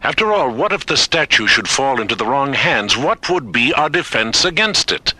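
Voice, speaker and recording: An actor playing a stubborn, combative official, arguing insistently in a science fiction radio drama. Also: male voice, mid-range voice, big pitch swings, normal speed, no echo, exaggerated voice. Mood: insistent, suspicious. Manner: insistent, argumentative, forceful. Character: stubborn, combative male official hungry for weapons.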